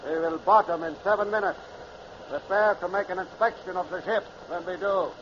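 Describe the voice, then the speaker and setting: Man acting imperious high-pitched submarine captain, old radio. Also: normal speed, lightly acted. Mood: stern, curt. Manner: imperious, barking, contemptuous. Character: arrogant, short-tempered male German submarine captain. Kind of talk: 1950s radio drama acting. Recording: noisy, muffled vintage radio recording.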